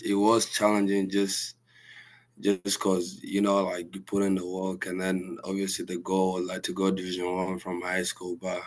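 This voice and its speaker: A young man from Sudan, a college basketball player, answering interview questions softly, with halting restarts and fillers. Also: low voice, completely flat delivery, rough recording. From South Sudan. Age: young adult. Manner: soft-spoken, halting, with many restarts and fillers.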